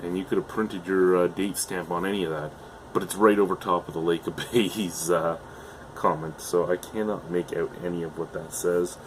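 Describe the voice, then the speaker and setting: A young man from Canada with a low voice that is going hoarse talks his way through a beer review at home. He rambles casually, with plenty of "uh"s and restarted sentences. A faint air-conditioner hum sits underneath. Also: fairly even intonation, normal speed, low-quality recording. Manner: chatty, hesitant, with frequent fillers and restarts. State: losing his voice.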